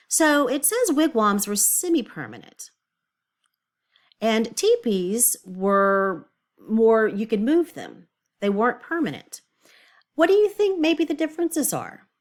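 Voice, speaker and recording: Woman teacher with lively, swooping intonation. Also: medium pitch. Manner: explanatory, asks the listener questions and thinks aloud. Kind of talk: read-aloud lesson for children with explanatory asides.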